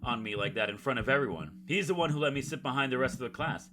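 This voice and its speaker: A man's voice: medium pitch, expressive, fast, background noise. A man hosting a gaming video, reading a visual novel aloud like a storyteller and dropping in casual, jokey commentary. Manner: jokey asides, dramatic storytelling read. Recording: music playing behind the voice.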